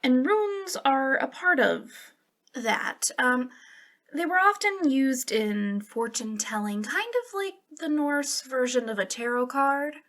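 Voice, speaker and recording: A friendly young witch who runs a little shop, played by a woman in an ASMR roleplay. She speaks softly and unhurriedly in a fairly high voice that often drops into vocal fry. Her manner is eager but awkward, hesitant and a little giggly. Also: sing-song, slow pace, exaggerated voice. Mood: chatty, awkward.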